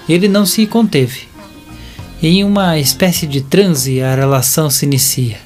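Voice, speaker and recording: A man with a Brazilian accent reading scripted story narration for an audio drama. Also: fairly high pitch.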